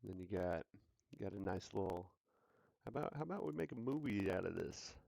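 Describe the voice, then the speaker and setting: A man's voice: low voice, lively intonation, a little fast, gravelly, mellow, no echo. A man hosting a casual sports talk show, joking and hyped, repeating his lines for effect. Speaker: sports podcast host.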